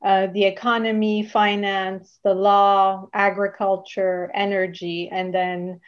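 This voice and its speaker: A woman's voice: mid-range voice, little pitch movement, slow pace, smooth. Woman from luxembourg on a video call, matter-of-fact, kinda muffled audio. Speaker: guest, magazine founder. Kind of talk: casual interview over video call. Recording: slightly dull sound.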